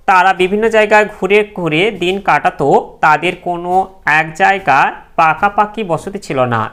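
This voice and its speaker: Clear, smooth teacher reading aloud. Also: mid-range voice, fairly bright tone. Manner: instructional, addressing students directly. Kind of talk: reading exam questions and answers aloud as a lesson.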